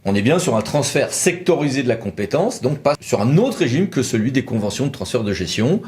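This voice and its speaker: A man's voice: mid-range voice, sing-song, fairly quick, a little mellow. Man giving a conversational legal explainer. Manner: didactic, thinks aloud with rhetorical questions. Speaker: lawyer presenting a legal explainer.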